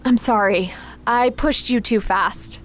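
An actress playing a caring but exacting magic trainer in a naturalistic audio drama, frank and instructive in manner. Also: female voice, on the high side, takes it slowly, soft background music. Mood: apologetic, alarmed. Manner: frank, instructive, gently concerned.

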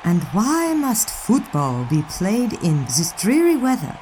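Sassy young 1920s lady, kinda posh n arch, very lively pitch, noisy outdoor background, radio play. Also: slow pace. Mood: disdainful. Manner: arch, refined, playfully scolding. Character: witty, opinionated young 1920s sweetheart. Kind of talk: period audio drama acting. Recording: clearly noisy outdoor ambience behind.

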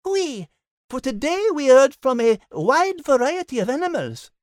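A performer voicing a refined female cat who co-hosts the podcast, with a soft, gracious manner. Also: high-pitched, sing-song, unhurried, dry recording, clean studio sound. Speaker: podcast co-host.

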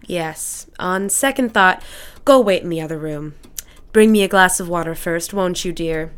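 A woman's voice: on the low side, normal speed, clean tone, close to an everyday voice. A woman playing a bitter, forgetful bedridden housewife in an audio drama, sardonic and imperious, with a drawling delivery. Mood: imperious, biting.

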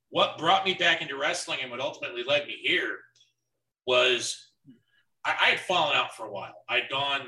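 A man's voice: mid-range voice, pushed and bright. A man in his early thirties chatting casually as a podcast co-host during a wrestling watch-along. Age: early 30s. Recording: echoey room, distant from mic.

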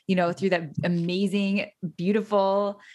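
A woman's voice: medium pitch, little pitch movement, measured pace, a little mellow. A woman co-hosting a faith podcast, enthusiastic, asking rambling questions full of fillers. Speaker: co-host. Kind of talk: casual podcast chat.

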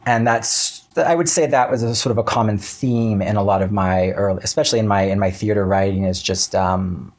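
Low, slightly husky man in casual interview. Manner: halting, self-interrupting, wry, animated retelling with quoted asides. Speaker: playwright and writer being interviewed.

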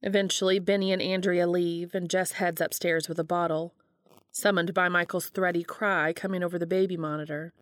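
A woman reads fiction aloud as an audiobook-style narrator. Her voice sits a little on the low side for a woman. The recording is dry, without audible room. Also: little pitch movement, a little fast, dry recording. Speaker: fan fiction reader.

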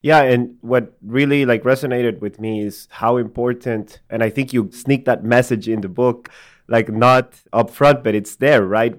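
A man from El Salvador hosting an interview, talking casually with frequent "like" and "right?". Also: mid-range voice. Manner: casual, uses "like" and "right?" often. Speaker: podcast host. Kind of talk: conversational interview.

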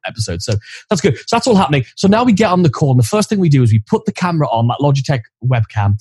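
A man co-hosting a podcast with a loud voice, chatting casually and explaining gear step by step, recorded on a studio mic in an office corner. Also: on the high side, very animated, racing, slightly rough, dry recording. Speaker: podcast co-host. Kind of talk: casual chat. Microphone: studio condenser mic on boom arm.

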